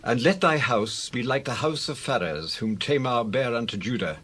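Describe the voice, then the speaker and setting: An actor playing a solemn, ceremonial town elder in a dramatized Bible reading, with a husky voice. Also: male voice, medium pitch, animated, moderate pace, fairly bright tone. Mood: solemn blessing. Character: solemn town elder bearing witness and blessing.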